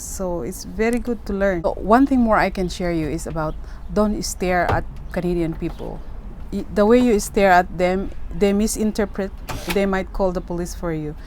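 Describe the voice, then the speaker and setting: A woman's voice: medium pitch, darkish tone. Filipino-accented woman in her middle years, earnest vlog advice, faint hiss behind. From Philippines. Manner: earnest, repeats herself, keeps asking "okay?". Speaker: vlogger giving advice. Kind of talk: casual advice talk to camera.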